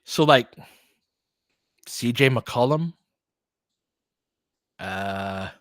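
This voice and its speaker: A man's voice: mid-range voice, lively intonation, extremely slow, dry recording, clean studio sound. A man doing informal basketball play-by-play as a host, chatting conversationally and thinking aloud. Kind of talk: casual basketball commentary mixed with chat replies.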